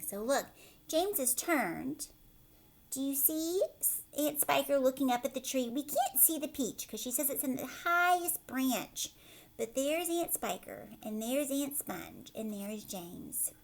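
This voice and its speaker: A woman teacher reading a storybook aloud to children, expressive, with explanatory asides and questions to the listeners. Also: high-pitched, unhurried, vocal fry.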